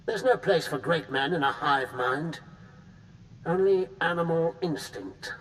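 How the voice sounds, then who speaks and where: An actor playing a disdainful, pragmatic Imperial official with a haughty, clipped manner in scripted game dialogue. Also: male voice, on the high side, expressive, unhurried, fairly bright tone. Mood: disgusted. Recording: distant, noisy game capture.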